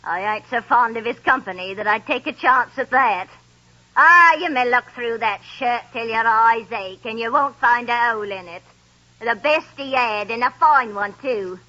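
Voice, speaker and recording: A woman's voice: a little high, normal speed, bright, forceful tone. An actress playing a greedy, scornful old charwoman with a coarse, gloating manner in 1930s radio drama acting. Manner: coarse, gloating, cockney-flavored. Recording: dull 1930s radio broadcast.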